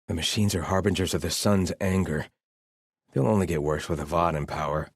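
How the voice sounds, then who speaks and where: Zealous, low-voiced fantasy soldier. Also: male voice, a little fast, husky, dry recording, studio quality. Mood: solemn, scornful. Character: zealous, grumbling adult male soldier loyal to a deposed king. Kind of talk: video game voice lines, battle barks and muttered remarks.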